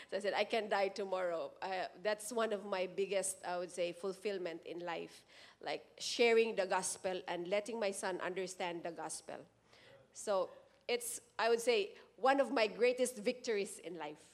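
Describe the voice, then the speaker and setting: A woman in her forties sharing a personal testimony at a church service into a handheld mic, earnest and halting, often restarting her words. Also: medium pitch. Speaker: church member giving testimony.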